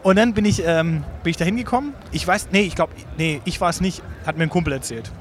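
A young man, telling anecdotes in a colloquial, halting, casual chat. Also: on the high side, slight background noise.

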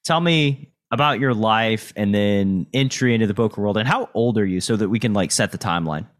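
A man's voice: lowish voice, a little fast. Dry-humored male interviewer. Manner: dry, teasing asides. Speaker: interviewer host. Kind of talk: interview questions.

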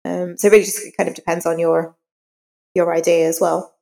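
A woman's voice: on the low side, little pitch movement, brisk, vocal fry, dark tone. A woman novelist being interviewed, explaining her craft in an informal, conversational way.

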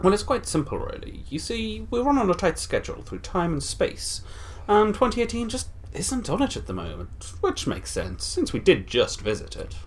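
A man's voice: high-pitched, normal speed, full character voice. An actor playing a polite, unflappable male conductor of a time-travelling train in an audio drama. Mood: courteous. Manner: courteous, formal, lively rising tones.

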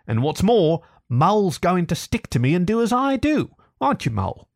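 A man's voice: high-pitched, sing-song, vocal fry, dry recording, studio quality, voice pushed up, full character voice. Man as a stubborn, stiff storybook water rat. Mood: dogged, stubborn. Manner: slow, dogged, stiff. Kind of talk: storybook character acting.

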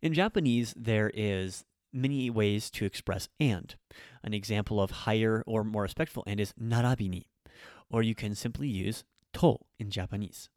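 A man's voice: lowish voice, moderate pace, darkish tone, dry recording, studio quality. A man hosting a casual grammar lesson, chatty and self-correcting as he walks through examples. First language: English. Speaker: language teacher.

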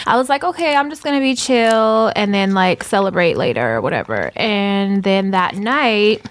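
A woman's voice: medium pitch, expressive, moderate pace, dry recording. A middle-aged woman, co-hosting a podcast in frank, profane casual chat with lots of fillers. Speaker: podcast co-host.

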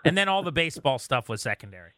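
A man's voice: a little high, big pitch swings, quick pace, fairly bright tone, no room sound. A man hosting a sports radio show in a casual, conversational talk style.

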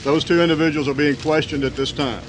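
A man's voice: fairly high pitch, fairly even intonation, quick pace. Formal male briefing over music. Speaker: official giving a press briefing.

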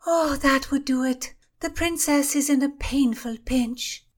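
A woman's voice: high voice, measured pace, pitched above the performer's own voice. A woman voicing a breathless, indignant young lady-in-waiting in a storybook reading. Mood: gossipy. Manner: breathless, scornful.

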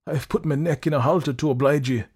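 A man acts out a young male medical student from a gothic storybook, sick with conscience and horror. His voice rises high and loud as he cries out in distressed exclamations. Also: fairly even intonation, quick pace, husky. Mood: uneasy reproach.

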